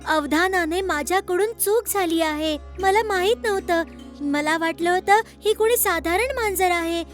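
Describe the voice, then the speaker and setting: Very high-pitched bold little boy god. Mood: remorseful. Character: child boy god Ganesha, bold, hot-headed, devoted son. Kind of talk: animated children's story dubbing in Marathi.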